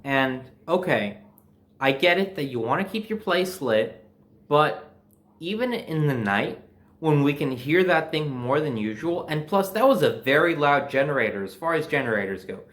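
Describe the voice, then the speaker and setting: A young man telling personal stories in a casual, animated way, swearing freely and acting out bits of dialogue. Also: a little high, moderate pace. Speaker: storyteller.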